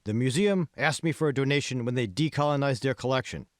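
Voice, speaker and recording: A man's voice: mid-range voice, quick pace, no echo, studio quality, pitched above the performer's own voice, close to an everyday voice. A man voicing a self-assured, smug billionaire in an audiobook, explaining things and pressing his point. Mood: smooth, self-assured. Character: self-assured male tech billionaire, smug patron of art. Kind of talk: audiobook dialogue.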